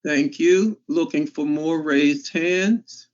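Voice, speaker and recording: A man moderating an online class, calmly calling on raised hands again and again. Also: on the high side. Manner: repetitive, measured prompting. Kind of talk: calling on participants. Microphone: video call. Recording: dull lo-fi call audio.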